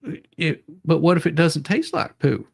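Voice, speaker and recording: A man's voice: fairly high pitch, animated, normal speed, creaky, breathy edge, no room sound, studio quality. A man hosting an online show in casual chat, rambling and often stammering over repeated words.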